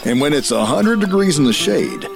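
A man voicing a folksy Texas Hill Country rancher with a slow drawl. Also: on the high side, sing-song, gravelly. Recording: music bed behind.

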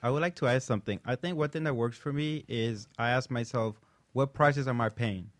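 A man's voice: medium pitch, a little fast, no room sound. Male panel guest, thoughtful, dry recording. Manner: reflective, adds his own view. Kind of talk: talk-show chat.

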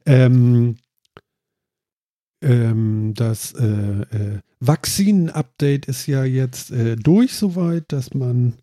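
A man's voice: medium pitch, very slow, dark tone, no echo, studio quality. Nasal man chatting with a stuffed nose. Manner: rambling, many fillers. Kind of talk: casual chat.

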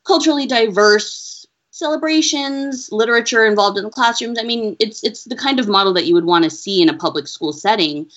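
A woman researcher answering interview questions in an earnest, measured, explaining way. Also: medium pitch. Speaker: education researcher, interview guest. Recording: dull lo-fi audio.

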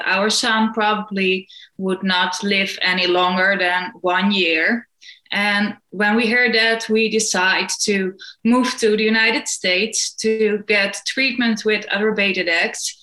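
A woman's voice: medium pitch, pushed and bright. A woman from the Netherlands with a Dutch accent, a parent on a panel, speaking English carefully as a second language. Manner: careful, apologetic about her English. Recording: echoey room.

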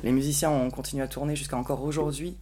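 A woman's voice: rumbling low, steady tone, quick pace, background noise. A woman talking casually and personally as a podcast guest. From grew up between Bordeaux, France and New York.

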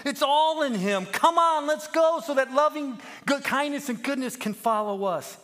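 A man voicing an earnest, emotional little lamb who pleads in a high, strained, preachy way, as in a children's story. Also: normal speed, fairly bright tone. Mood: excited urging. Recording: long echoing hall reverb.

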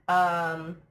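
A woman's voice: lowish voice, a bit bright. A woman hosting a casual live stream, chatty and laughing often, her voice a little throaty from allergies. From United States. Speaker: live stream podcast host. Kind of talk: casual chat. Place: at home abroad, live stream.

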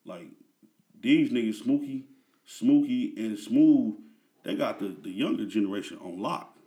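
Laid-back lower-voiced man, slangy. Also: unhurried, dark tone. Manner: laid-back slang-heavy talk with frequent fillers. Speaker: interview guest. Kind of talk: casual conversational interview.

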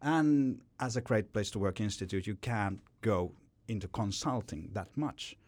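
A man's voice: on the low side, unhurried, darkish tone, no echo. A man, a company founder telling his story in an interview, often repeating words as he goes. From Finland. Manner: rambling with frequent repeated words. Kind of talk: podcast interview answer.

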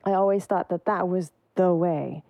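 A woman's voice: lowish voice, normal speed, gravelly, no room sound, lo-fi sound. A woman percussionist giving thoughtful, searching answers in an interview. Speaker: percussionist guest.

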